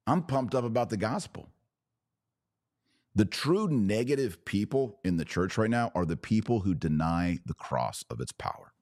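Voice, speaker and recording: A deep-voiced American man speaks in a very dry acoustic. He delivers religious commentary as a podcast host, unscripted and impassioned. His delivery is preachy and emphatic, built on short, punchy phrases. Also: big pitch swings, moderate pace, darkish tone, no room sound. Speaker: podcast host taking viewer questions.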